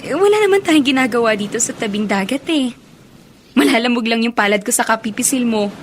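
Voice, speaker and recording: A woman's voice: on the high side, animated, a little fast, a little hiss or hum, natural voice. A woman playing an affectionate, dutiful young college student in a Filipino radio drama. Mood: teasing, tender.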